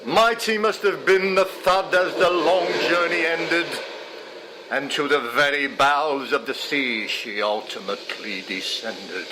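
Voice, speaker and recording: A man reading poetry aloud in a solemn, measured, dramatic way. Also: a little high, background noise. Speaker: poetry reader. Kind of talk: expressive poetry recitation. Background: music.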